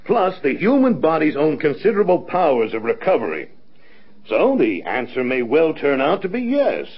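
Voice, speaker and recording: A man playing a brisk, reassuring senior air force colonel with an authoritative manner in a vintage radio drama. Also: a little high, wildly swooping pitch, slightly rough, exaggerated voice. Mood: measured, reassuring. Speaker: actor. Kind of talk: mid-century radio drama acting. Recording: dull lo-fi vintage radio recording.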